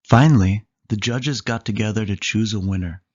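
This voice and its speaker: A storyteller reading a children's book aloud in an animated way, lingering on listed words. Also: mid-range voice, moderate pace, husky, highs cut off.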